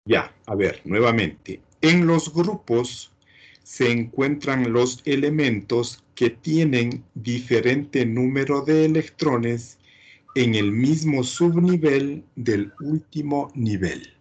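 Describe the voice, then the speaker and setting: Slow-paced male teacher, husky voice. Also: mid-range voice. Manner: didactic, often adds check questions. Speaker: chemistry teacher.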